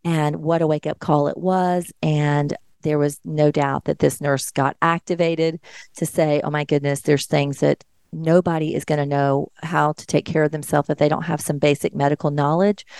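A middle-aged nurse from Georgia, hosting a monologue show and speaking earnestly and emphatically to her listeners. Her voice has stayed deeper since an illness. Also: a little mellow, no room sound. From Georgia, USA. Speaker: nurse and podcast host. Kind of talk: monologue commentary.

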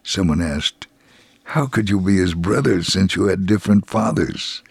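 Man, gravelly husky voice, solemn measured narration, scripted radio storytelling, very dry sound. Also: low voice, no room sound. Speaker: narrator reading a testimony story.

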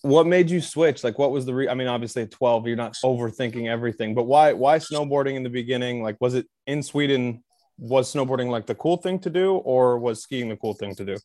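A man's voice: mid-range voice, fairly quick, darkish tone, no echo. A man asking a guest laid-back, curious questions on a podcast. His voice sits at a middle height, recorded dry with no room sound. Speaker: podcast interviewer. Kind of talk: casual interview.

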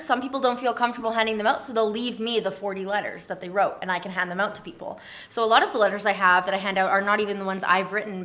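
A woman's voice: medium pitch, pushed and bright. A woman talking casually as an interview guest, explaining things in a chatty way. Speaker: interview guest, community organizer.

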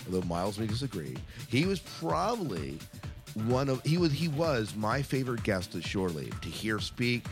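A man's voice: fairly low pitch, sing-song, normal speed, over music. A man hosting a podcast, chatting casually and making announcements in an enthusiastic manner. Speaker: podcast host.

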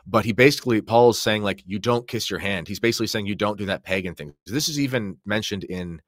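A man's voice: lowish voice, fast talker, no room sound, clean studio sound. A man speaking as a podcast guest in an impassioned religious discussion, explaining emphatically and often posing rhetorical questions.

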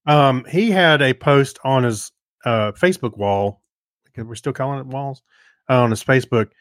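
A man's voice: medium pitch, normal speed, a bit bright, no echo. A man co-hosting a podcast, chatting in an informal, rambling way. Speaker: podcast co-host. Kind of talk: casual chat.

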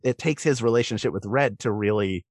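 A man's voice: on the low side, fairly quick, no room sound. Man talking thoughtfully, dry close sound. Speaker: guest voice actor. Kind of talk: podcast discussion.

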